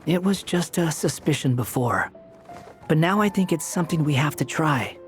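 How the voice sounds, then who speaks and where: Guy doing moody young wanderer from a fantasy game, kinda high voice, earnest, terse, music behind. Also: animated, normal speed, close to an everyday voice. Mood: grave, resolute.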